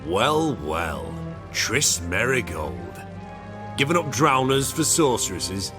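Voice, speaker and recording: A man's voice: medium pitch, big pitch swings, takes it slowly. An actor playing a smug, threatening witch hunter officer in a video game, gloating as he talks. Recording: music behind the voice.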